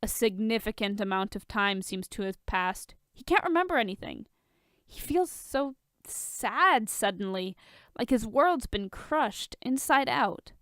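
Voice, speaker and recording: A woman reading fan fiction aloud as an expressive story narrator. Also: a little high, a little slow, no echo, studio quality.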